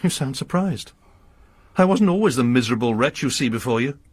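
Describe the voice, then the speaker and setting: An actor playing a grim, pious lodger, a grieving father bent on revenge, who talks in a formal, preaching way with a husky voice. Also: medium pitch, animated, a little fast, no echo. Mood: solemn. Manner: formal, sermonizing, quotes scripture. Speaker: lodger under arrest. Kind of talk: radio drama acting.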